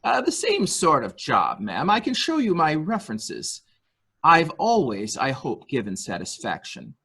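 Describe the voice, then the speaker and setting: Man acting a primly servile manservant, lively hesitant delivery. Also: fairly high pitch, measured pace, husky, full character voice. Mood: deferential, careful. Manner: hesitant, obsequious, formal. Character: deferential male nurse-attendant to a rich invalid, primly servile. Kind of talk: dramatic reading of a murder mystery.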